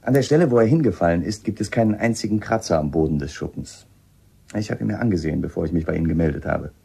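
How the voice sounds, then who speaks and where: A man's voice: deep voice, lively intonation, fast talker, creaky, warm tone, breathy edge, heavily acted. A man acting a shrewd, polite police inspector in a radio drama, speaking in a probing, matter-of-fact, slightly hesitant way. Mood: probing, firm.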